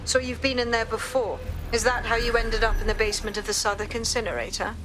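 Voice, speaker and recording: An actress playing a resolute resistance operative in a video game, firm and businesslike. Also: female voice, a little high, little pitch movement, quick pace, noisy background, natural voice. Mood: determined.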